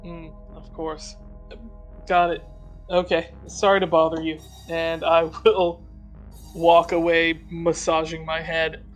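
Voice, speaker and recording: A man playing a tabletop game, talking casually in character with a dry, deadpan manner. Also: fairly high pitch, slow, soft background music, poor audio.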